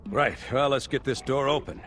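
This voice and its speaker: An actor playing a no-nonsense military superior in a video game, dry and commanding. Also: male voice, mid-range voice, moderate pace, slight background noise, lightly acted. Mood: dry, impatient. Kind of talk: video game cutscene acting. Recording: loud music over the voice.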